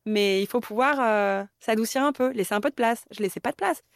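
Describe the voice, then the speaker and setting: A woman's voice: a little high, a little fast, no echo, studio quality. A woman in her thirties from Paris, speaking candidly in a conversational way as an interview guest. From Paris, France. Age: 30s. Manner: candid, conversational, many fillers.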